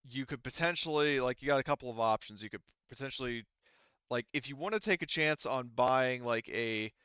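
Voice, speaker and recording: A man running a tabletop game as game master, explaining rules casually in a halting, rambling way with self-corrections. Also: mid-range voice, a bit bright, smooth, no echo, highs cut off.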